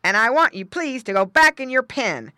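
A big male farm dog from a children's storybook, put on by a woman. He talks in a loud, forceful bark, stern yet polite. His delivery is firm and animated. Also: a little high, normal speed, clean tone, dry recording, clean studio sound, pitched above the performer's own voice. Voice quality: barking, growling.

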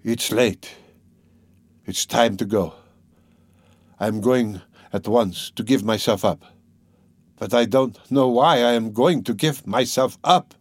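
A man's voice: mid-range voice, slow pace, long pauses, husky, no room sound. Man acting tormented, proud young man. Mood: grim resolve.

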